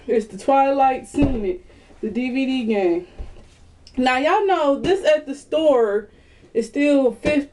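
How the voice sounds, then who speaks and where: A lively woman shows off her bargains, talking straight to viewers in a casual, chatty way. Her voice sits high and she takes her time over each word. It is picked up on a webcam in a small bedroom, with a faint hiss behind her. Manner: chatty, animated, addresses viewers as y'all. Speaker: vlogger. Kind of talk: casual haul video.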